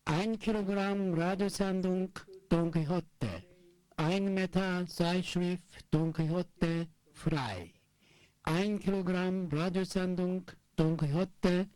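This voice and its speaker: A speaker reciting short repetitive poetic lines in a measured way. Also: medium pitch, darkish tone, no echo, low-quality recording.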